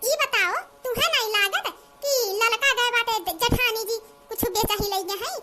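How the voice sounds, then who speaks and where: A woman voicing a scheming, gossiping young village daughter-in-law in a cartoon comedy, with an Awadhi accent and an artificially squeaky, nagging delivery. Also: normal speed, music in the background. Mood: conspiratorial. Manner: exclamatory, nagging, conspiratorial. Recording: noticeable background noise.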